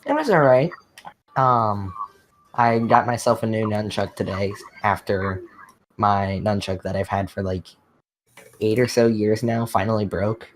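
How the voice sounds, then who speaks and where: A man's voice: fairly low pitch, measured pace. A young man giving short, plain replies on a slightly noisy voice call while he plays a game. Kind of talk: casual chat while playing a game. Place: online voice call.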